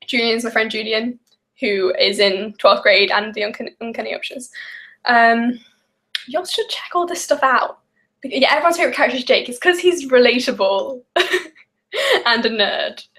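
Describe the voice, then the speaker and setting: A woman's voice: fairly high pitch, normal speed, fairly bright tone. A young woman from the English Midlands with a Midlands accent, chatting casually and rambling on a live stream while baking, with lots of fillers and giggles. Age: young adult. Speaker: vlogger.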